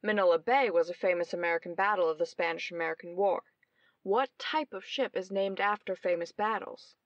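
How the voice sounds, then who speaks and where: A woman's voice: lowish voice, fairly quick, no echo, lo-fi sound, natural voice. An actress playing a brilliant young woman detective, explaining her deductions precisely in old-time radio mystery style. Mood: confident.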